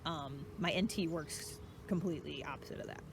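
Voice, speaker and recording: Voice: medium pitch, brisk, vocal fry, noisy background. A channel host talking casually and reflectively in a solo vlog, thinking aloud in a conversational way.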